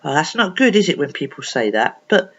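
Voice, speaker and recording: Woman plays storybook coach: sturdy, kindly, plain-spoken, questioning, with animated pitch. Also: fairly quick, breathy edge, lo-fi sound, heavily acted. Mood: reasoning. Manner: colloquial, questioning, reasoning. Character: strong, kindly, down-to-earth school coach who calms anger.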